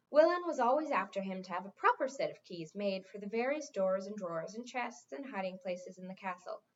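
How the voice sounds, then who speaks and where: A woman reading a fantasy novel aloud as narrated fiction. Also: mid-range voice. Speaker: reader. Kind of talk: audiobook-style read-aloud of a fantasy novel. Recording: dull, lo-fi sound.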